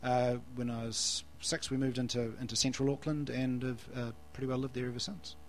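A New Zealand man in his forties, a guest preacher answering questions in church. He stops and restarts his sentences often and pokes gentle fun at himself. A faint hum runs under his voice. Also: medium pitch, flat delivery, fairly quick. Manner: hesitant, restarts phrases, self-deprecating. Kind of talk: church interview and sermon.

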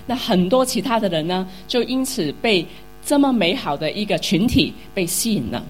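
A woman's voice: medium pitch, big pitch swings, moderate pace, slight background noise. A woman interpreting a sermon into Mandarin, which is not her first language, hesitant and full of fillers.

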